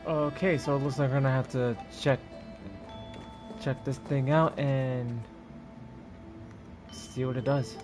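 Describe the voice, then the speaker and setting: A man's voice: medium pitch, steady tone, slow, long pauses. A man giving casual commentary as he streams a video game. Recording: game music behind the voice.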